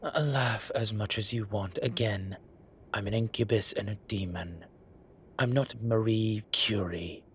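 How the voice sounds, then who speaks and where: A man playing an ancient, bookish incubus demon professor in an audio roleplay, dry and exasperated, with halting, sighing delivery. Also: on the low side, slight background noise, natural voice. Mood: defensive. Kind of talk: audio roleplay acting, intimate one-sided dialogue.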